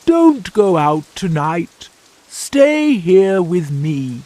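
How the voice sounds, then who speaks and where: Lady doing a kindly old storybook grandpa, really slow, very close dry sound, expressive. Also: lowish voice, no echo, heavily acted. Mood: gentle. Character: kindly old man talking to his pet cat.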